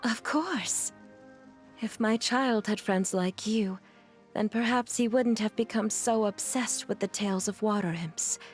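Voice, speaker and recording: A woman playing a gracious, genteel mother and employer in a video game, courteous, refined and gentle. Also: mid-range voice, measured pace, faint music behind, natural voice. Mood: kindly.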